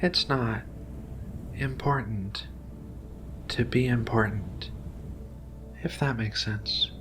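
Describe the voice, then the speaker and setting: Male ASMR roleplayer, gentle alien researcher: slow, soothing, reassuring delivery with music underneath. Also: mid-range voice. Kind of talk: soft-spoken ASMR roleplay.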